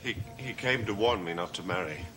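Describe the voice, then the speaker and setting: A man's voice: sits low, fairly quick, background noise, natural voice. An actor in his thirties playing a brooding, intense heir haunted by a family curse in a gothic television soap. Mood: troubled. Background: dramatic music.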